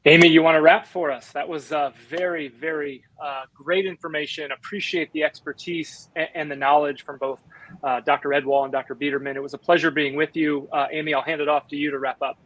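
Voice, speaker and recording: A man's voice: a little high, level tone, fairly quick, a bit bright, no echo, poor audio. A man hosting a webinar, upbeat and full of fillers like "you know". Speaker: webinar host.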